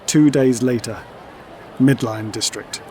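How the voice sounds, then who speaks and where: A man's voice: medium pitch, slow, mellow, soft background music, some chatter behind. A man reading scripted narration for an audio drama.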